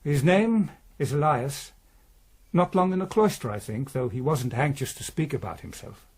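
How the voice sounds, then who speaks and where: Casting: earnest, anxious prior, devout and kindly, fairly high voice, period radio drama. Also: male voice, lively intonation, normal speed, slightly rough.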